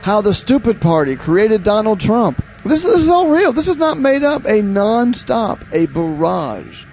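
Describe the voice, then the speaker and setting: High-voiced emphatic American male commentator. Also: very animated, a little slow, a little mellow, slight background noise. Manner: emphatic, incredulous, repeats phrases for effect. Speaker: political commentator, show host. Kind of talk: opinionated political commentary, reading headlines aloud.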